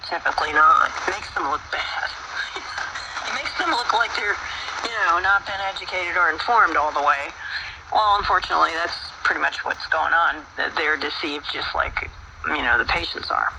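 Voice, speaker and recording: A man's voice: fairly high pitch, moderate pace, creaky, background noise. A man hosting a health radio show, talking in a folksy, preachy way with many fillers. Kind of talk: casual talk radio monologue.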